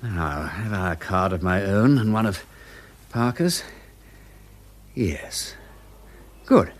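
An actor playing a breezy aristocratic English gentleman detective in a radio drama, with wordy, flowery asides. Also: sits low, expressive, slow pace. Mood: brisk, cheerful. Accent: upper-class English. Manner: breezy, flowery phrasing, drawling rhetorical asides.

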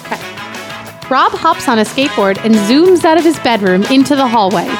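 A woman's voice: high-pitched, expressive, a little fast, over music. A woman guest on a comedy podcast, blunt and casual, bantering and reading a script. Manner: blunt, sweary heckling.

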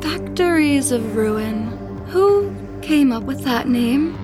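A woman's voice: high voice, lively intonation, takes it slowly. An actress playing a commanding, taunting spirit woman who haunts a manor in a horror audio drama, with an insinuating manner. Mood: insinuating, probing. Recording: voice over prominent background music.

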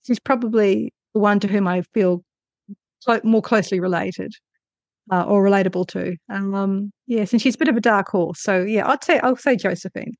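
A woman's voice: mid-range voice, moderate pace, no echo, muffled recording. A woman answering interview questions as an author, conversational, with thoughtful and hesitant phrasing. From Australia.

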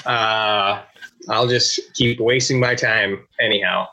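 A man's voice: on the low side, fairly even intonation, slow, sharp tone. A man co-hosting a podcast, rambling a little and chatting casually with his friend. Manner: rambling, self-deprecating. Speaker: podcast co-host. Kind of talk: casual banter.

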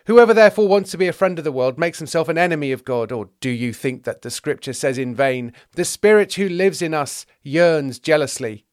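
A man's voice: fairly high pitch, animated, fairly quick, few pauses, no room sound, clean studio sound, pitched above the performer's own voice, close to an everyday voice. A man playing a snide, self-righteous disciple in a comedy sketch. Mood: preachy. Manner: snide, preachy. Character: touchy, sarcastic, self-righteous male disciple.

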